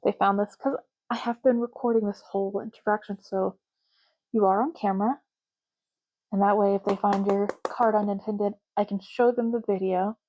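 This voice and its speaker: A woman speaking softly and unhurriedly in an ASMR roleplay, playing a chatty, matter-of-fact official in short murmured phrases. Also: medium pitch, fairly even intonation, moderate pace, muffled recording. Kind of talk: soft-spoken ASMR roleplay.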